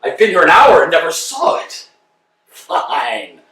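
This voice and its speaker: A man voicing a restless tramp who coaxes and snaps, his fairly high voice leaping all over. He is heard from across a roomy, echoing space, far from the microphone. A faint hiss sits under it. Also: measured pace, heavily acted. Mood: exasperated. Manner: lively, coaxing and impatient. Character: Vladimir, fretful bossy male tramp. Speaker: actor in a staged reading. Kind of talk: casual stage play reading. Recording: echoey room, mic far off.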